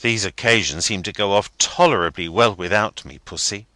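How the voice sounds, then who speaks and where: Man doing a sulky young gentleman, measured delivery, bright tone, dry sound. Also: lowish voice, no echo. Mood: grumbling. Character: young gentleman fiancé, grumbling and stiff.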